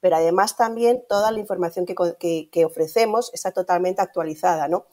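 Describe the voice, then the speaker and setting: A woman's voice: fairly low pitch, fairly quick, dark tone. A middle-aged woman with a Castilian Spanish accent explaining things as an interview guest, often ending phrases with tag questions. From Spain. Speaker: interview guest, radio streaming executive. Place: indoor room, video call. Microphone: video call.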